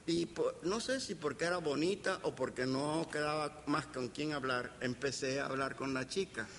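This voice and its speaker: A reverberant hall carries the voice of an older male professor with a Caribbean Spanish accent, over some faint noise. His voice sits a little high for a man. He lectures slowly and deliberately, with pauses and playful, anecdotal turns. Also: a bit bright. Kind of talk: anecdotal academic lecture to an audience. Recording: reverberant hall, background hiss.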